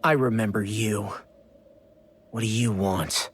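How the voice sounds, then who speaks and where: An actor playing a bitter, hot-headed teenage boy with a husky voice and a defiant manner in a fantasy anime game. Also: on the low side, slow, slight background noise. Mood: surly, bitter. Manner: defiant, surly. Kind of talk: English-dubbed anime game voice acting.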